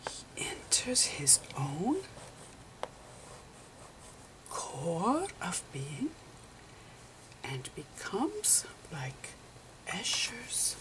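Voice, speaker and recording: A woman voicing an eccentric elderly male physicist, whispering a halting, patient ASMR roleplay lecture. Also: on the low side, wildly swooping pitch, recorded from a distance, heavily acted, low-quality recording. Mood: hushed, wondering. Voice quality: breathy whisper. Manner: halting, repetitive, patiently explaining. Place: indoors at a slate chalkboard.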